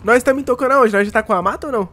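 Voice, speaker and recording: A man talking in a casual way as he plays a roleplay game. He has a cold and throws in street slang and quick shouts. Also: very high voice. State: congested with a cold. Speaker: roleplay streamer. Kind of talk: casual gameplay chat. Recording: music playing behind the voice.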